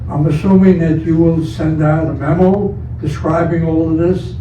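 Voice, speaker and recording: A man's voice: a little high, steady tone, moderate pace, warm tone, a little hiss or hum. A man resident with a wry, teasing manner. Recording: dull, echoey, distant.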